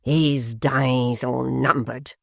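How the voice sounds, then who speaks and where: A man reading an audiobook plays a pompous, sulky young apprentice with a haughty, slow, theatrical delivery. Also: mid-range voice, steady tone, vocal fry, no room sound, studio quality. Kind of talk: audiobook character voice.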